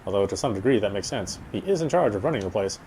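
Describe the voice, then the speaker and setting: A man's voice: lowish voice, animated, fast talker, darkish tone, a little hiss or hum, low-quality recording. A man reading a horror game's story aloud as dramatic narration, sometimes stumbling and rereading phrases. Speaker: game player reading story text aloud. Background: game music.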